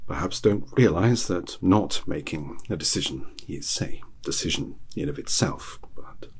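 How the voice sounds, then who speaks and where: Man playing musing hanged tarot character, bottom-deep voice, breathy rasp, half-whispered, wry, indecisive, ASMR fantasy. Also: a little slow, full character voice. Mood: wryly musing. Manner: rambling, wryly self-deprecating.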